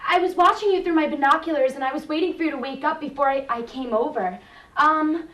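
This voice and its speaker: A woman's voice: sits high, fast talker, fairly bright tone. A young woman playing a giddy, obsessive, lovestruck college student who gushes eagerly in a stage skit. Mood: giddy, adoring. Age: young adult. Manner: gushing, eager. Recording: echoey room from far off.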